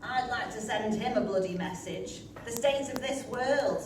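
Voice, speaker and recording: A woman's voice: a little high, moderate pace, lightly acted. A woman reading a dry, weary, no-nonsense psychiatric nurse in a stage play, wry and matter-of-fact, in a very echoey, distant, noisy recording. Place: large echoey room, mic at a distance.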